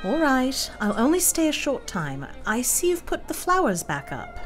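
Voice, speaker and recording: A woman playing a doting, anxious middle-aged gentlewoman, a mother, in a radio play. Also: sits high, wildly swooping pitch, moderate pace. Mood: anxious, soothing. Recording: music behind the voice.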